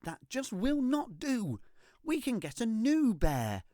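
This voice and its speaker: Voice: medium pitch, huge pitch swings, slow pace, dark tone, dry recording, clean studio sound, pitched above the performer's own voice. A storyteller voicing a brave, bossy little girl in a children's storybook, giving emphatic, urgent instructions. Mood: firm, scolding. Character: brave, bossy little girl rescuing her dragon friend.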